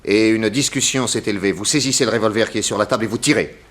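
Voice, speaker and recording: An actor playing a shrewd, authoritative detective in a 1960s French radio drama, theatrical, deliberate and accusatory. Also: male voice, on the low side, a bit bright, lightly acted. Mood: stern, explaining.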